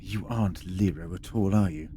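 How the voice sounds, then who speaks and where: Male actor as probing alien time traveller, shrewd, low voice, questioning, audio drama, clearly noisy recording. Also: moderate pace, mellow, lightly acted. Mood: stern, accusing. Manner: questioning, knowing. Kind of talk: audio sci-fi drama acting. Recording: noisy home-made recording.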